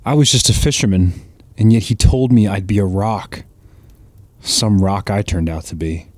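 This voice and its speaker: A man's voice: on the low side, normal speed, no room sound, natural voice. A man playing a humbled, guilt-ridden fisherman disciple in an audio drama, speaking earnestly and with self-reproach. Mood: regretful.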